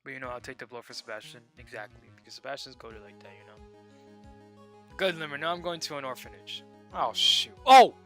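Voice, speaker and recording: A young man streaming a video game, joking and excitable, swinging between loud outbursts and low muttering. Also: brisk, soft background music. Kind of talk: casual gameplay commentary.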